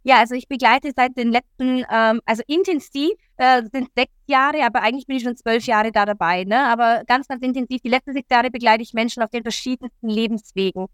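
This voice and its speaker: A woman from Italy, a coach, talking as a guest in an earnest, lively way with many fillers. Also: a little high, fairly quick, few pauses, clean tone, no room sound. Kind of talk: interview talk.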